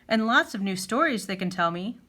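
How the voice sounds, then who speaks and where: A woman voicing a gentle, curious male bear in a children's story, earnest and polite in manner. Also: medium pitch, animated, a little fast, a little hiss or hum. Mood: wondering, hopeful.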